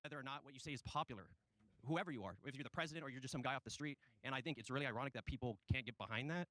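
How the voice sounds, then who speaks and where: A man's voice: mid-range voice, racing, pushed and bright, no room sound. A man speaking in a group debate, rambling with many fillers and restarts. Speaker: debate participant.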